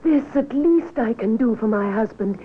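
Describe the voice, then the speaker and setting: A poised and defiant lady of the house, played by a woman in a vintage radio drama. Her voice is high, cool and composed. The recording is tinny, with clear background noise. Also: expressive, normal speed, lightly acted. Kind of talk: old time radio drama acting.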